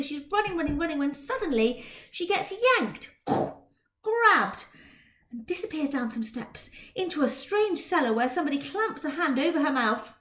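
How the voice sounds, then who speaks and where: A woman teacher and author talking to students and reading a story aloud in a dramatic, expressive way. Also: high-pitched. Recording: roomy indoor echo.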